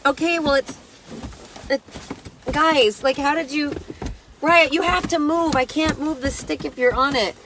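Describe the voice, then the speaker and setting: A woman's voice: sits high, steady tone, measured pace, pauses often, background noise. A woman in her thirties vlogging from inside a truck, flustered and laughing in exasperation, recorded on a phone held at arm's length. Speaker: mother vlogging. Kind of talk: casual selfie vlog. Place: inside a parked truck, under an open umbrella.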